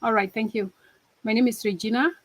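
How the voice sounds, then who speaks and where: A woman's voice: fairly high pitch, fairly even intonation, brisk, dry recording. A woman from Kenya, a nurse, introducing herself plainly on a video call. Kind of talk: casual self-introduction.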